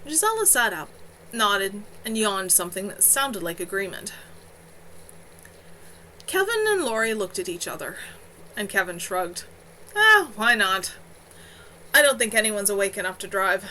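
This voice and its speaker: Woman, bright voice, lively swooping intonation, expressive fiction narration, animated dialogue, faint background noise. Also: mid-range voice, unhurried, pauses often, poor audio. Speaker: author reading own novel. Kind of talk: expressive audiobook-style story narration. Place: at home on a live stream.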